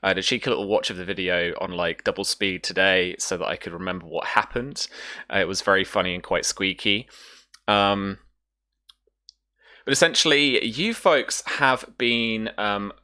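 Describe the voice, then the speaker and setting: A chatty host runs a tabletop roleplaying stream. The voice sits very low in pitch but has a bright, forceful edge. The delivery is casual and teasing, like talk among friends. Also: moderate pace. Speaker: host and game master. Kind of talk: casual livestream hosting.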